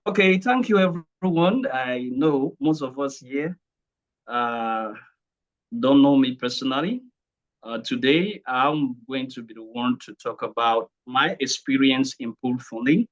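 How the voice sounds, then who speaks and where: A man's voice: mid-range voice, a little slow. Muffled Cameroonian man giving an online talk. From Cameroon, English-speaking region. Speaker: speaker sharing testimonial at online training. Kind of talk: informal presentation to an online group.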